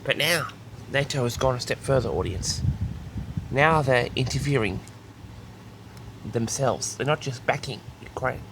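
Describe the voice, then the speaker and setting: A man's voice: mid-range voice, a little slow, long pauses, background noise. A man delivering a mock-serious, grandiose monologue, repeatedly addressing his audience with self-important certainty. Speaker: host of a comedic audio log.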